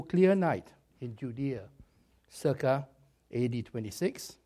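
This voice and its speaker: A man preaching a sermon, his voice slightly raspy and hoarse after a cold, talking in an animated, chatty way with jokes at his own expense and stretches of storytelling. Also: mid-range voice.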